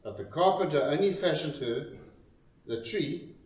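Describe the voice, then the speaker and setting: A man reads a narrative poem slowly, with wide swings of pitch. His delivery is dramatic and deliberate, broken into short phrases. The sound is dull and set back from the microphone. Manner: expressive, deliberate, pausing between short phrases. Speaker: reader of a poem. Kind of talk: dramatic storytelling recitation. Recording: roomy, distant, dull lo-fi sound.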